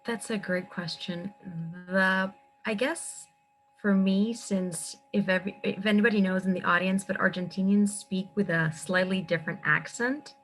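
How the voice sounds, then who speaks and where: A woman's voice: medium pitch, fairly even intonation, moderate pace, a bit bright, slight background noise, poor audio. A woman speaking as a panelist, searching for words and laughing lightly. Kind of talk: casual panel talk.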